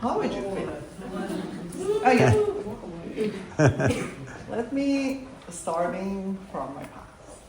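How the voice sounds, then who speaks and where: Slow-paced woman from Iran telling a story. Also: mid-range voice, big pitch swings, a loud crowd. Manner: halting, laughs between phrases. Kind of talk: live storytelling on stage. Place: event hall with audience. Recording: echoey hall, distant mic.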